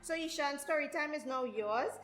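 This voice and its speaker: A woman's voice: high-pitched, normal speed, fairly bright tone. A woman hosting a children's storytime, speaking directly and encouragingly to parents and children. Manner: encouraging, addresses listeners directly. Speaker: host of a children's storytime show. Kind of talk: presenter talk to parents and children. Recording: roomy echo, faint music.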